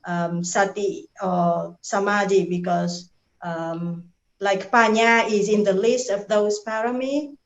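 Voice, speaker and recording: A woman's voice: medium pitch, fairly even intonation, takes it slowly. A woman from Vietnam, a participant asking a question on a video call, speaking hesitantly with many fillers. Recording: echoey, dull video call audio.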